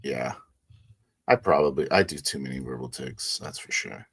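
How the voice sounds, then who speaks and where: A man's voice: a bass voice, normal speed, creaky, a bit bright, slightly rough, dry recording, studio quality. A man chatting casually and slangily about a roleplay game, with some swearing. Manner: slangy, profane. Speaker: tabletop roleplay player in post-game discussion. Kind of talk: casual chat.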